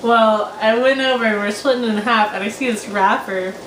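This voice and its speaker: Casting: American female, 20s, highish voice, casual conversational delivery, noisy room recording. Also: brisk, fairly bright tone. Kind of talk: casual chat, chiming in on a shared story. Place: small room at home, indoors. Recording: distant, echoey room with clear noise.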